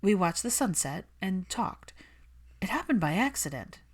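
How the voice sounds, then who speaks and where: A woman's voice: mid-range voice, big pitch swings, moderate pace, breathy edge. A woman voicing a guarded, logical young woman in a storybook reading, clipped, insistent and clinical.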